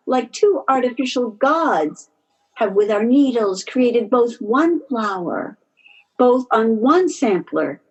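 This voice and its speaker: A woman playing a wronged, pleading young gentlewoman in an amateur Shakespeare verse table read. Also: a little high, slightly rough, exaggerated voice. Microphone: video call. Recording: dull, lo-fi video call.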